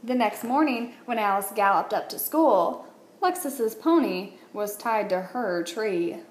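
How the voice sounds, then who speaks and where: A woman, a teacher, reading a children's picture book aloud with expressive, deliberate phrasing. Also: medium pitch. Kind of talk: read-aloud storytelling for children. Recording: roomy echo, light background noise.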